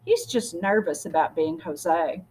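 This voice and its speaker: A woman's voice: fairly low pitch, animated, measured pace, darkish tone, a little hiss or hum, poor audio. Woman reading as a reassuring young niece. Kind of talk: storybook character dialogue.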